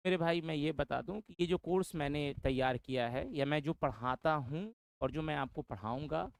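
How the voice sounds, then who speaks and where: A man's voice: a little high, moderate pace, gravelly, no echo. Man teaching, dry close sound. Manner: repeats points, addresses students as brother. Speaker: English teacher. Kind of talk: course introduction lecture.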